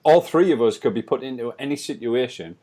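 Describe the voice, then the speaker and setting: A man's voice: medium pitch, animated, a little fast, mellow. A man co-hosting a podcast, chatting casually and backing up the guest with frequent agreement. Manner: frequent yeah backchannels. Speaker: podcast co-host.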